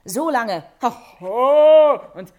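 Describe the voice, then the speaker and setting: An actress playing a combative, sarcastic feminist in a satirical radio play, emphatic and declamatory. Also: female voice, high voice, animated, drawn-out words, darkish tone, exaggerated voice. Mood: sarcastic outrage. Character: combative, sarcastic feminist woman debunking Prussian history.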